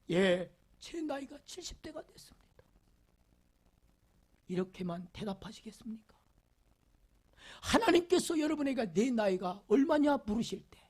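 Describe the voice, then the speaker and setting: Husky preaching pastor, loud then whispered. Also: male voice, high voice. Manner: repeats rhetorical questions, swinging from loud emphasis to near whispers; leads the congregation in call-and-response reading. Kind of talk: Sunday sermon.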